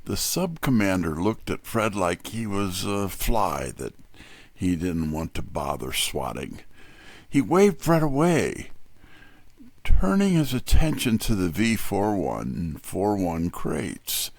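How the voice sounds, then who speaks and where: Slow, low-voiced wry man as a detective's sidekick. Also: sing-song, gravelly, dry recording, heavily acted. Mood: wry, suspenseful. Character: wry, streetwise male detective's assistant telling the story. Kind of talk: first-person scripted mystery narration.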